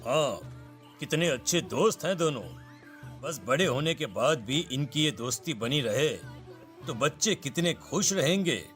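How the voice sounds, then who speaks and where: A man's voice: on the high side, lively intonation, a little slow, fairly bright tone. An actor playing an agreeable, fond father in a cartoon story. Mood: fond, hopeful. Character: agreeable father, fond friend of another dad. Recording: music behind the voice.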